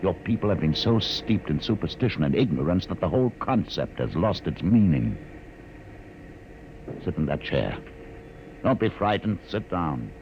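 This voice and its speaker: A commanding older rebel guide from 1950s radio drama, heard through a muffled recording with heavy hiss. His low voice is sardonic and grandly declamatory, rising and falling widely as he lectures. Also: sing-song, moderate pace. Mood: commanding, solemn.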